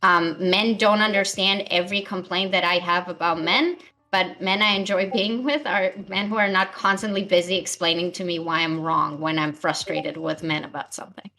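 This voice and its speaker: A woman's voice: lowish voice, steady tone, normal speed, bright, forceful tone, low-quality recording. A young woman talking in a casual online panel, thoughtful and full of fillers. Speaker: panel guest.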